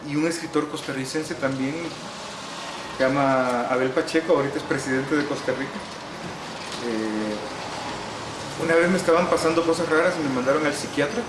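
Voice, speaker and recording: A middle-aged man from El Salvador with a Salvadoran Spanish accent, talking through his life as a writer in an unhurried, reflective way, pausing on "eh" and adding wry humor. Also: a little high. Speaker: interviewee, novelist and musician. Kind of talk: relaxed recorded interview. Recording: echoey room with air-conditioning hum.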